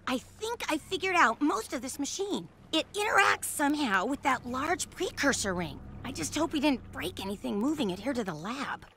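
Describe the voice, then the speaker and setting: Young gadget girl in a game, high crisp bright voice, eager and confident. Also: sing-song, normal speed, few pauses, a little hiss or hum, heavily acted. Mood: eager, curious. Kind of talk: video game acting.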